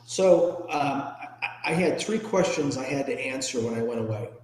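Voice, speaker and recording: An American man speaks as an interview guest in a candid, informal, reflective way. His pitch sits in the middle range for a man. The room around him is echoey, with a long reverberant tail. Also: animated, normal speed. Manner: candid, informal, occasionally salty. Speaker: podcast guest, ethics trainer and public speaker. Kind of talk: reflective personal interview answers.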